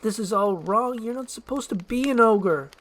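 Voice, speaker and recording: Casting: woman as young princess, demanding and romantic, courtly diction, very animated intonation, storybook comedy. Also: fairly high pitch, moderate pace, slight background noise, natural voice. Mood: indignant. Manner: imperious, archaic courtly phrasing.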